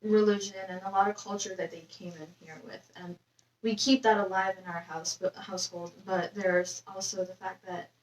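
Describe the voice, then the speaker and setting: A woman's voice: mid-range voice, little pitch movement, normal speed. A young woman from Nepal answering interview questions in a hesitant, casual way with many fillers. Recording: echoey room, distant mic, faint noise.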